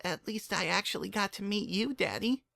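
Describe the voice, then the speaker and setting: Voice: medium pitch, expressive, normal speed, creaky, sharp tone, voice pushed up, exaggerated voice. A narrator voicing a young pony mare, a hurt but hopeful daughter, emotional and pleading, in a dramatic story reading. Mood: tearful.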